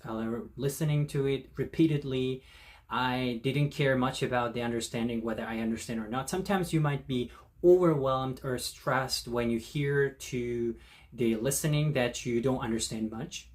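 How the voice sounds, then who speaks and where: Man, non-native Korean speaker, unhurried, measured delivery, mid pitch. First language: not Korean. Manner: slow, thoughtful, many fillers and restarts. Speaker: channel host. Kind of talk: casual talk to camera.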